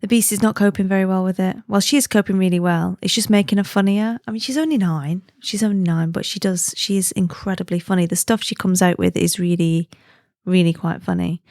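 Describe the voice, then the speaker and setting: A woman's voice: mid-range voice, fairly even intonation, brisk, darkish tone, no room sound, studio quality. A woman from the UK hosting her own podcast, chatting in a friendly, easygoing way and laughing easily. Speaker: podcast host. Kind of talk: casual chatty podcast monologue.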